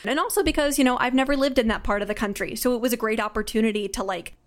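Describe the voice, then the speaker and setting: A woman's voice: a little high, racing. A young woman speaking conversationally in an interview as a writer and creator. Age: young adult. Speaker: audio drama writer and creator.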